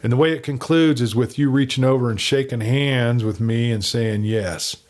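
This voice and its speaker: A man voicing a shrewd, hard, steady lawman in a western story, with a blunt, commanding manner. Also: mid-range voice, normal speed, close to an everyday voice.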